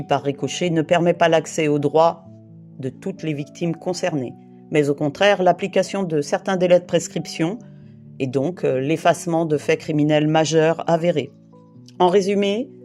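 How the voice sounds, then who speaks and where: A woman from the Paris region, in her late fifties or older, gives a prepared first-person testimony in a grave, deliberate way, piling up short phrases one after another. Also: fairly low pitch, warm tone. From Île-de-France, France. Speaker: interviewee giving testimony.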